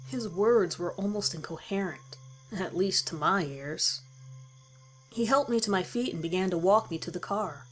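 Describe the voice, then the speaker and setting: A woman's voice: mid-range voice, expressive, moderate pace, slightly rough, rough recording. A woman reading a first-person horror story as a dramatic scripted narration. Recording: music behind the voice. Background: music.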